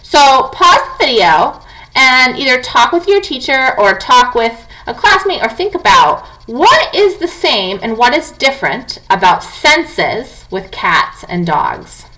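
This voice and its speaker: Bright, animated woman reading aloud to pupils. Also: high voice, slow pace, poor audio. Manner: clear enunciation, instructive, addresses young pupils directly. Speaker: teacher reading aloud to students. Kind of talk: expressive children's non-fiction read-aloud.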